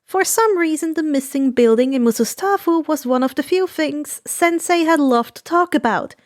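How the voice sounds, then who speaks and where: Woman, high-pitched voice, story narrator, scripted fan fiction reading. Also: lively intonation, normal speed.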